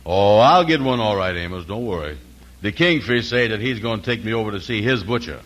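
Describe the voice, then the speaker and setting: A low-voiced, slow-witted but big-hearted uncle from a 1940s radio comedy. He talks in a folksy, ungrammatical drawl, humble and proud. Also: lively intonation, a bit bright. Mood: grateful, confident. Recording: vintage 1940s radio broadcast.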